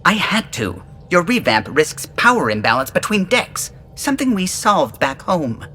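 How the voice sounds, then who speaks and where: An actress playing a firm, exacting starship engineer in a video game, curt and technical. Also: female voice, fairly low pitch, big pitch swings, normal speed, sharp tone, soft background music. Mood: defiant.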